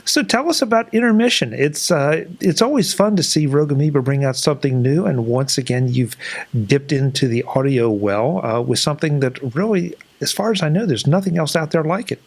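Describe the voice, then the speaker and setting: A man's voice: fairly high pitch, lively intonation, a little fast, gravelly. An older man, hosting a conversational interview over a video call from a small office, close to a desk microphone. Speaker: show host interviewer.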